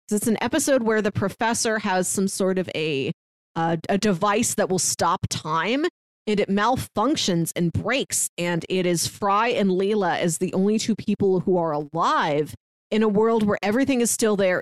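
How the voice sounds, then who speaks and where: A woman's voice: medium pitch, no room sound. A woman co-hosting a podcast, chatting enthusiastically and recounting plots in a casual way. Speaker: podcast co-host. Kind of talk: casual chat.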